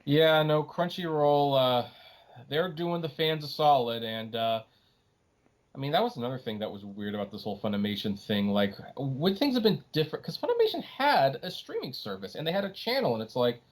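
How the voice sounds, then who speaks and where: A man's voice: a little high, sing-song, a little fast. Emphatic man talking on a podcast. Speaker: podcast host. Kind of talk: opinionated casual podcast discussion.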